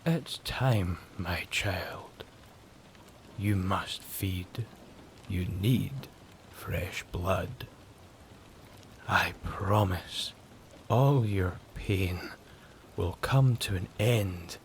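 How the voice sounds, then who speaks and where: A man playing an arrogant, cruel vampire who speaks in a slow, menacing, commanding way. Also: sits low. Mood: menacing, coaxing. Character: sinister, arrogant male vampire, cruel predatory maker. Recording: steady rain noise over the voice. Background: rain.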